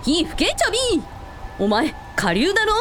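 A woman playing a brash, hot-blooded elder sister and shrine priest in a radio drama, bossy and rough-mouthed. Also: very high-pitched, huge pitch swings, moderate pace, a bit bright, a little hiss or hum, full character voice. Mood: rowdy, egging on.

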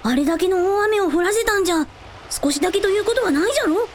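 A woman's voice: very high-pitched, expressive, quick pace, a little hiss or hum. A woman playing a young, earnest dragon prince in a radio drama, speaking in archaic lordly phrases with childlike openness. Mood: concerned, tender. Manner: old-fashioned lordly speech with childlike openness. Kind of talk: Japanese radio drama acting.

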